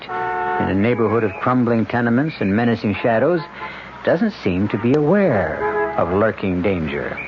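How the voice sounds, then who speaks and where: An ominous storyteller hosts an old radio thriller in measured, dramatic narration. His voice is fairly low, on a muffled recording over loud music. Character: ominous male storyteller introducing a crime tale. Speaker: host and narrator.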